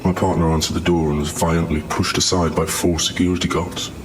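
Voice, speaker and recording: An actor playing a weary torture survivor in an audio drama, recounting his false arrest in a measured, grave way. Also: deep voice, little pitch movement, a little hiss or hum, natural voice. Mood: grim, resigned. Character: weary torture survivor, falsely accused man giving testimony.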